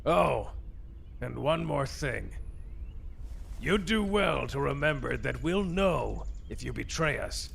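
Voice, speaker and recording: A man voicing a cold, contemptuous elder official in a roleplay audio drama, commanding and menacing, with a gravelly, raspy voice. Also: medium pitch, expressive, slow pace, a bit bright, slight background noise, exaggerated voice. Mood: quiet menace.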